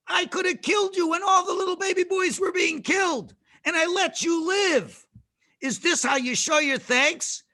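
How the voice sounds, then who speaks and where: A man's voice: very high-pitched, bright, forceful tone, pitched above the performer's own voice, heavily acted. A man voicing an indignant, pleading Egyptian princess in a children's Bible story. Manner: reproachful, emotional.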